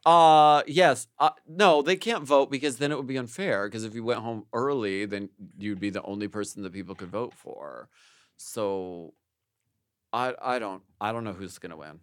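A man's voice: medium pitch, moderate pace, smooth. A man co-hosting a gossip podcast in a studio, joking playfully and reading listener messages aloud. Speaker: podcast co-host. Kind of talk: casual gossip chat, reading listener mail.

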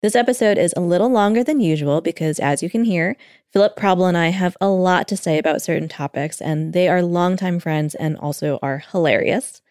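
A woman's voice: fairly low pitch, a little fast, a little mellow, no room sound, clean studio sound. A woman hosting a podcast, reading a polished scripted introduction. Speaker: podcast host. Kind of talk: scripted narration.

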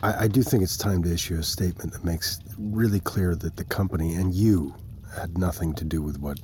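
An actor playing a concerned, probing male adviser in a naturalistic audio drama, halting, careful and understated. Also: low voice, a little mellow, slight background noise, lightly acted. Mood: sombre, careful.

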